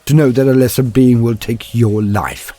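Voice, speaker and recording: A man voicing an arrogant, possessed assassin in an audio story, husky, sneering and deliberate in his menace. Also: mid-range voice, fairly even intonation, dark tone, natural voice. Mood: gloating.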